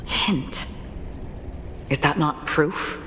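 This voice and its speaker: An actress playing a solemn, enigmatic swordswoman in a video game cutscene, speaking in a grave, deliberate and restrained way. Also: sits low, slight background noise, full character voice. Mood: cool, probing. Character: solemn, enigmatic adult female swordswoman, coolly perceptive. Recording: long reverb, loud background music.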